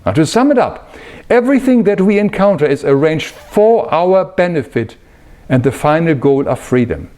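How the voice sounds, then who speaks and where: Man with lively, higher-pitched teaching voice. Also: moderate pace. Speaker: spiritual teacher. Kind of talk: explanatory spiritual talk.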